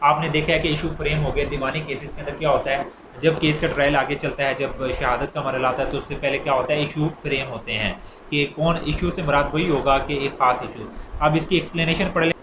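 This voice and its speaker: A man's voice: on the high side, steady tone, fairly quick. A man with a Pakistani accent giving an informal law lecture, explaining step by step with repetition and examples. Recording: echoey room with clear background noise.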